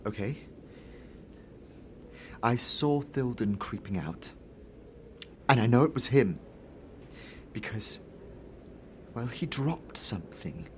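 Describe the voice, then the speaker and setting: A man acts out a scared young man in an audio drama, slow and halting, with a voice that swings widely in pitch. He stammers and pleads defensively, over noticeable faint background noise. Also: lively intonation. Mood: furtive, scared. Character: nervous, frightened young male informant, defensive.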